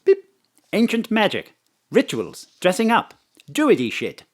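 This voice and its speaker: Robot car investigator, polite, literal-minded, formal, clipped phrases, animated pitch, audio drama. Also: measured pace. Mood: flat, informative.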